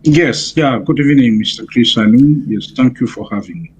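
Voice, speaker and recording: A man's voice: mid-range voice, moderate pace, husky. A man from Cameroon with a Cameroonian accent, giving casual interview replies as a remote guest on a video call. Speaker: guest, priest. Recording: slightly noisy video call.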